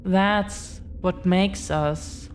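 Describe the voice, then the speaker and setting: A woman playing a tender, devoted young dragon-descended girlfriend in intimate roleplay audio, speaking haltingly and earnestly, repeating phrases. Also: lowish voice, fairly even intonation, a little hiss or hum. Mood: solemn, confiding.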